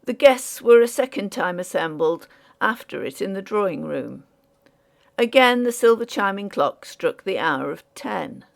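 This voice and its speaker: A woman narrating an audiobook story in a measured, formal storytelling manner. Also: medium pitch, expressive, gravelly.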